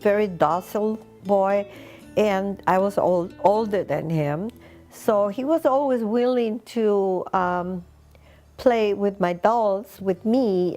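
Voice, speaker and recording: A woman in her eighties from Peru, a Spanish speaker, reminiscing in an unhurried way with pauses between short phrases. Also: mid-range voice, mellow. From Lima, Peru. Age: 80s. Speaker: interviewee recounting personal history. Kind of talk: reminiscing oral history interview.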